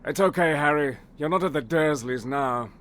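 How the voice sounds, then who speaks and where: An actor playing a friendly, encouraging wizard guide in a video game, with a reassuring manner. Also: male voice, on the high side, normal speed, fairly bright tone, slight background noise, lightly acted. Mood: welcoming, reassuring. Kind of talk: scripted video game cutscene acting.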